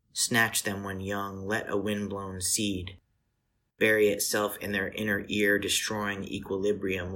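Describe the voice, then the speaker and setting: Low, husky-voiced man reading literature. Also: fairly bright tone. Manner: measured, deliberate phrasing with pauses. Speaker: poet reading selected poems.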